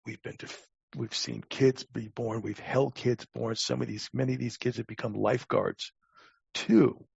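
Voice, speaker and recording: A man in his sixties with a gravelly voice, telling stories casually as a podcast guest in a halting, wry way with repeated words. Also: mid-range voice, steady tone, darkish tone, no echo. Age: 60s. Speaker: podcast guest, longtime lifeguard. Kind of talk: casual interview storytelling. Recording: dull, lo-fi close recording.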